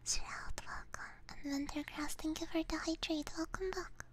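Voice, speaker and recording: A woman livestream host chatting in a soft, breathy ASMR whisper, gentle and playful, close to a binaural mic. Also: very high-pitched, fairly even intonation, normal speed. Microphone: close binaural ASMR microphone.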